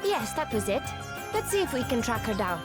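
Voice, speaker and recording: An actress playing an outspoken, perceptive young adventurer in a game, casual and frank. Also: female voice, fairly high pitch, sing-song, fairly quick, over loud music. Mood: light. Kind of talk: dramatic video game voice acting.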